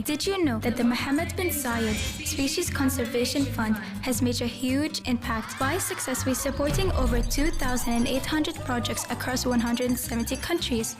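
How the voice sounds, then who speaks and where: A woman voicing an upbeat young adventurer who hosts a children's animated show. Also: high voice, natural voice. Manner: upbeat, presenting, cheery. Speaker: show host. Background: background music.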